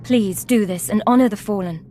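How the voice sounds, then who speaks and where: A woman's voice: mid-range voice, dark tone, faint music behind, lightly acted. A woman playing a timid, hesitant academy girl. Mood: pleading. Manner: hesitant, pleading, with halting restarts. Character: timid, earnest young woman at a Sith academy. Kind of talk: video game voice acting.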